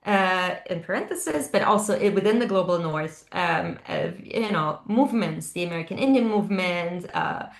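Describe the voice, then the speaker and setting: A woman's voice: on the low side, expressive, moderate pace, hardly any pauses. A woman from Germany speaking off the cuff as a panelist in an online discussion, discursive, often checking in with "right?" tags. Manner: discursive, frequent "you know" and "right?" tags. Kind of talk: impromptu webinar discussion. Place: indoor room, online video call. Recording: echoey room over online call.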